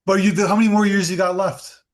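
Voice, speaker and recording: A man hosting a podcast interview, relaxed and chatty, encouraging his guest. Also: high voice, fast. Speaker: podcast host. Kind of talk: casual interview.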